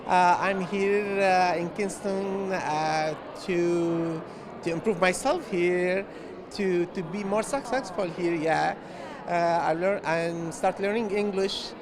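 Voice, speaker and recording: A man from Palestine, an adult English learner who answers in halting English and repeats words as he searches for them. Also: sits high. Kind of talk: interview answers.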